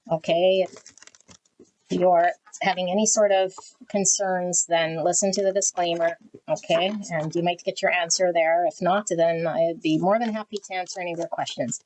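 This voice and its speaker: A woman giving a tarot reading to her viewers, talking frankly and often tagging "okay?" onto her sentences, with her voice swinging high and low. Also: a little mellow, poor audio. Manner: pitch swings high and low as she talks. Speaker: tarot reader. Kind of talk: casual direct-to-camera tarot reading.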